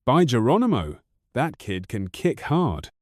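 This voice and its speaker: A man's voice: medium pitch, very animated, a little slow, no echo, studio quality. A man voicing a battered, rattled kidnapper who grumbles in a weary, put-upon way.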